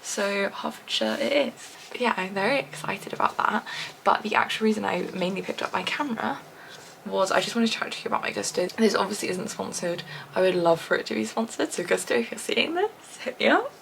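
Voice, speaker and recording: A young English woman vlogging casually to a handheld camera, chatty and rambling, her voice a little husky and deep, sometimes dropping to a soft whisper. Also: fairly quick, slight background noise, poor audio. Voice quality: slightly deep, husky morning voice. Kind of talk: casual vlog chat to camera. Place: at home and outdoors after a run. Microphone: small handheld camera.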